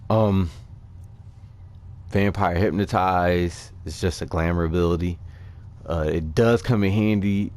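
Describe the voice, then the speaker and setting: A man with a low voice delivers loose, unscripted gaming commentary. He thinks out loud, halting and picking up again as he explains things to his viewers. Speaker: gaming video creator. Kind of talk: casual unscripted game commentary.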